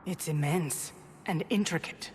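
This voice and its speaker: Cool alien councilor, lowish female voice, poised, formal, reverberant hall, game drama. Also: unhurried, a little mellow, a little hiss or hum. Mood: cool, questioning. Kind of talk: dramatic video game acting. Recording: echoing hall, faint background ambience.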